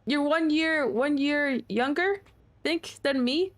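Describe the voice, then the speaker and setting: Chatty young woman streamer, slightly high voice. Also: slow pace. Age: late 20s. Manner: chatty, self-mocking, swearing freely. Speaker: game streamer. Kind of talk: casual livestream chat.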